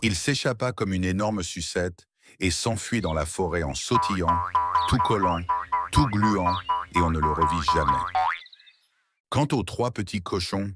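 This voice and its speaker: A man narrating a scripted children's story as storyteller, in an expressive, dramatic way. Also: low voice, a little slow, loud music behind the voice.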